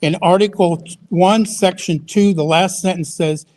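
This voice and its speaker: A man's voice: sits high, steady tone, a little mellow, slight background noise. A man giving public comment at a town hall in a school auditorium, stumbling and repeating words as he quotes the law. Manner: halting, repeats words while quoting law.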